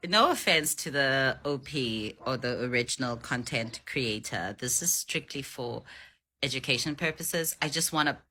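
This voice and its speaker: A woman from South Africa talks as an online commentator. Her voice is low, bright and forceful, with a rough husky edge. She delivers her opinions emphatically and with indignation. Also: animated, normal speed. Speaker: reaction video creator. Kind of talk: opinionated social commentary to camera.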